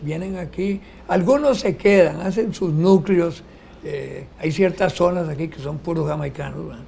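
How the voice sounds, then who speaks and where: An older man speaks in a reflective, drawn-out manner. His voice is gravelly with plenty of fry, yet fairly high-pitched. A faint hiss lies under the talk. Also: dark tone. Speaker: panelist. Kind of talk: casual chat.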